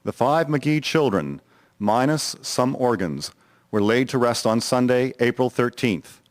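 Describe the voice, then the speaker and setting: A man giving a solemn, measured scripted narration of a historical drama. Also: mid-range voice, lively intonation, no echo.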